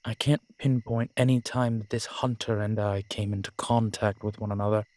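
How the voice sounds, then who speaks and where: A man's voice: lowish voice, fairly even intonation, normal speed, a little mellow, no room sound, lightly acted. A man playing an ancient, proud vampire in an audio drama, speaking with formal, courtly diction and gravity. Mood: grave. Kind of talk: dramatic audio roleplay acting.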